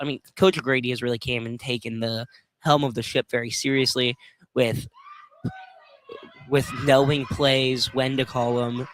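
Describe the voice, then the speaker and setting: A man giving conversational color commentary on a live basketball game, halting and rephrasing as he goes. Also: medium pitch, a bit bright, rough recording. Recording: slight background noise.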